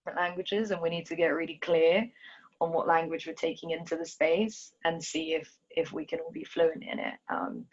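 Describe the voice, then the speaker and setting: Woman, London accent, low pitch, dull lo-fi audio, reflective, restarts often. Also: level tone, moderate pace, slightly rough. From Ethiopia. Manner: thoughtful, hesitant with many ums and restarts. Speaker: dancer and choreographer. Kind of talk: reflective conversation. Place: video call from home.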